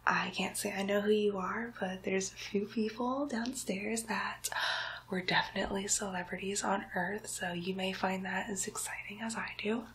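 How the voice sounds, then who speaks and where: A woman's voice: mid-range voice, fairly even intonation, moderate pace, fairly bright tone, close to an everyday voice, rough recording. A woman playing a chatty, cheeky demon nurse in a whispered ASMR roleplay, coaxing and playfully condescending. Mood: chatty, mildly condescending. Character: chatty, cheeky female demon nurse greeting souls in hell. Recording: faint background noise, close whispered recording.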